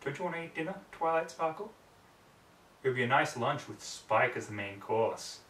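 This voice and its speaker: A man's voice: mid-range voice, animated, normal speed, a bit bright, slight background noise. A man narrating a story in a brooding, theatrical way, often in clipped fragments. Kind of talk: dramatic story reading. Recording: roomy echo, distant microphone.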